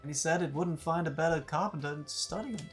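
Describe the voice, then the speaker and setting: A polite, eager young woman who is new to an island in a video game, read by a streamer with a Danish accent. She speaks in a middle-pitched, everyday tone. Faint game music plays behind her. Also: fast talker, lightly acted.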